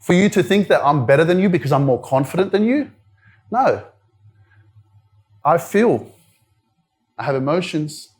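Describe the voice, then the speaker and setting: A man's voice: fairly high pitch, lively intonation, moderate pace, long pauses. A man with an Australian accent giving a casual, direct motivational talk at a live indoor event, chatting with the crowd and swearing now and then. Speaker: motivational speaker.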